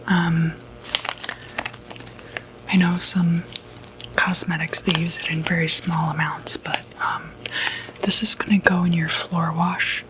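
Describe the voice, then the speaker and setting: A woman whispering softly and breathily in an unhurried, hesitant ASMR roleplay as a doctor. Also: lowish voice, level tone, a little hiss or hum, rough recording. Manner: soft-spoken, unhurried, hesitant pauses. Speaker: ASMR performer role-playing a doctor.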